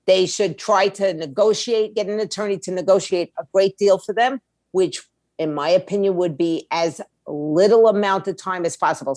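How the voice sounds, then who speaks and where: A woman's voice: medium pitch, darkish tone, no room sound. A woman giving opinionated interview answers in a blunt, emphatic way, with slangy phrasing and rhetorical questions. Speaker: music industry attorney and indie label owner, interview guest.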